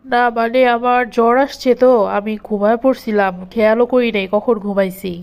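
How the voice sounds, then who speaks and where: Actress as high-voiced, meek wronged housewife. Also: female voice, fairly even intonation, a little fast, a little mellow, smooth, natural voice. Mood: apologetic. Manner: submissive, apologetic. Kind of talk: cartoon drama voice acting.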